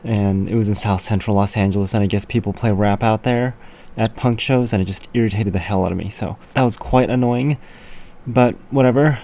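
A man hosting a radio show, casually back-announcing songs in a raspy, hoarse voice with offhand, grumbling asides. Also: on the low side, fairly quick, warm tone, slight background noise. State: hoarse from yelling.

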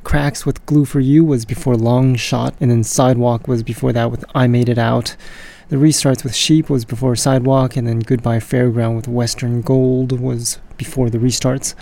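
Gravelly, mellow-voiced male radio host. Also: mid-range voice, moderate pace, few pauses. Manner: relaxed, rattling off song lists. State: getting raspy. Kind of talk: casual radio back-announcing.

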